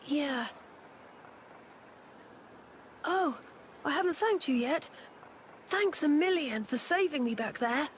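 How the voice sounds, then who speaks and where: A woman's voice: high-pitched, expressive, unhurried, a little hiss or hum. A woman voicing an eager, bookish young boy in a video game, chirpy and informal. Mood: friendly. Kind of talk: video game cutscene acting.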